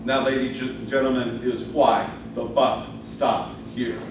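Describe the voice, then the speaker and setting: A man's voice: mid-range voice, level tone. Echoey, distant man telling a theatrical tall tale. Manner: dramatic, deliberate delivery with pauses. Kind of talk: theatrical live storytelling speech. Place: roomy indoor speech contest venue. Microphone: distant camera microphone. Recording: distant, echoey, noisy live room recording. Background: noisy room ambience, audience laughter.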